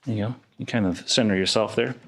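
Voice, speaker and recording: A man chairing a city council meeting, with a booming voice, reading an oath in measured phrases into a desk microphone in a council chamber. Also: creaky, a bit bright. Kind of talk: formal meeting procedure.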